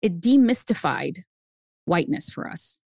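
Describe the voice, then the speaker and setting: A woman in her fifties whose first language is Spanish, telling her family story as a guest author, animated and jumping up in pitch for emphasis. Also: unhurried, vocal fry, no echo, studio quality. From United States. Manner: animated, swings up high for emphasis. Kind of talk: personal storytelling in an interview.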